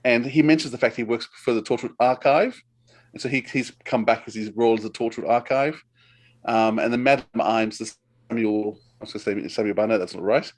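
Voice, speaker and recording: A man's voice: mid-range voice, fairly quick. A man in casual chat as a podcast co-host, giving recommendations in a rambling, enthusiastic way.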